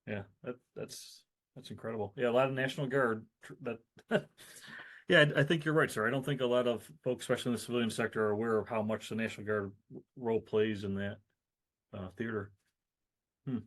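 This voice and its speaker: A man's voice: medium pitch, fairly even intonation, fairly quick, a bit bright. A man hosting an interview, respectful toward his guest and answering with short affirmations. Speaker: podcast host.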